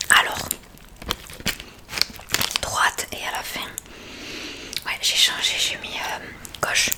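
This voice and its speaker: Whispering French-language ASMR woman. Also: takes it slowly. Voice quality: soft breathy whisper. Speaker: ASMR creator playing a hearing examiner.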